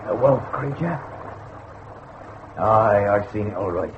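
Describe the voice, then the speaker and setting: An uneasy, deferential village man in a horror radio drama. His voice sits fairly low, on a dull recording with clear noise behind it. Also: animated, slow. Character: uneasy, deferential male villager who saw the beast.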